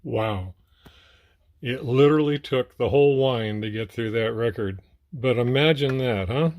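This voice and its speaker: A man's voice: medium pitch, measured pace, darkish tone. Man casually demonstrating an old gadget. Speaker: record collector demonstrating a portable player. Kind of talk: casual hands-on demonstration talk.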